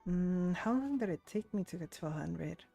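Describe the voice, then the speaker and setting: A woman's voice: lowish voice, expressive, moderate pace, vocal fry, warm tone. A woman chatting casually as she live-streams a video game. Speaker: streamer, VTuber. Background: game music.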